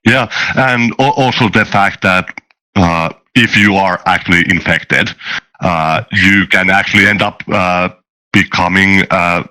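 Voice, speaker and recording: Deep, bright-voiced man explaining haltingly. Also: poor audio. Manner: halting, searching for words. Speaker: game creator on a panel. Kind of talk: casual explanatory discussion.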